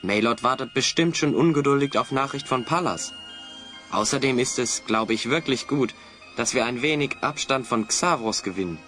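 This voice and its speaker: A man's voice: medium pitch, moderate pace, a bit bright, soft background music, natural voice. Man acting a level-headed adventure hero. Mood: cautious urging. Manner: sensible, urging. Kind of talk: German audio drama acting.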